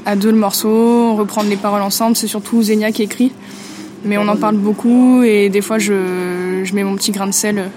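Woman, mid-pitched voice, chatty, lots of fillers, light ambient noise. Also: fairly even intonation, brisk, a little mellow. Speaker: musician in a duo. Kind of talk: casual interview answers.

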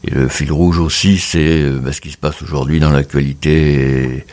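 A man from around the Mediterranean, a photographer giving a radio interview, speaking haltingly with many pauses and fillers. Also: a bass voice, steady tone, a little mellow. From Mediterranean, between Tunisia and Marseille. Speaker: photographer, guest.

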